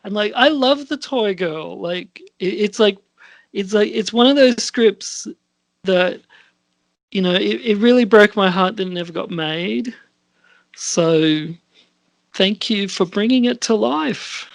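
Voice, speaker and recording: A man hosting a casual podcast chat, halting and often trailing off mid-sentence. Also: high-pitched, creaky, dry recording. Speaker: podcast host and scriptwriter.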